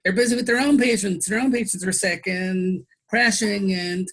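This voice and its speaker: A woman's voice: mid-range voice, normal speed, a bit bright, low-quality recording. A woman in a conversational interview, a nurse explaining her views in an emphatic, pointed way.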